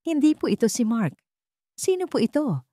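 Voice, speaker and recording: A distraught young bride-to-be from a romance drama, played by a woman narrator. The voice lifts in pitch and sounds pleading and vulnerable, recorded dry. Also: very animated, moderate pace, dark tone, dry recording. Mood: anxious.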